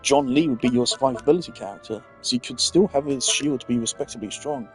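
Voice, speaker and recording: A man's voice: mid-range voice, fast talker, faint music behind. A man giving casual, rambling gaming commentary, thinking aloud as a streamer. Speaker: game streamer.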